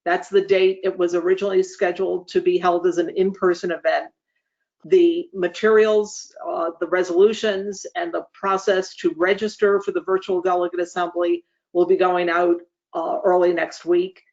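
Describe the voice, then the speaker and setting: A speaker hosting an online meeting, giving semi-formal updates in measured, formal wording with frequent hesitant pauses. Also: mid-range voice, flat delivery, a little mellow. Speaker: association leader hosting a meeting. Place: online webinar call. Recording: dull, lo-fi online meeting audio.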